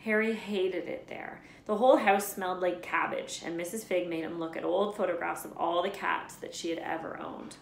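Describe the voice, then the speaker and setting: A woman reading a children's story aloud in an expressive narrating style. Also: on the low side, normal speed, creaky, hardly any pauses, slight background noise. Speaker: read-aloud storyteller, teacher. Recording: echoey room.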